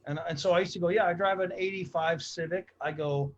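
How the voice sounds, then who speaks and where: Host: high-pitched man, middle-aged, chatty rambling storyteller, dull lo-fi sound. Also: steady tone, brisk. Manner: rambling, repeats himself, storytelling. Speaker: comedy show host. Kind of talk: casual chat.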